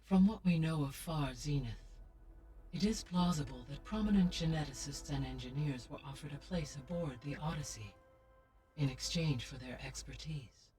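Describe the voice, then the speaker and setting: An actor voicing a calm artificial intelligence that advises the heroine in a formal, measured way, heard slightly distant over game music. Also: male voice, a little high, natural voice. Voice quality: measured, formal, even delivery. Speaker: game character.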